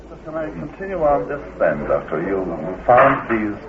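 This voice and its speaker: A man's voice: medium pitch, sing-song, normal speed. A man conducting an unscripted interview, asking questions as he goes. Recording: tinny phone-like recording, clear noise.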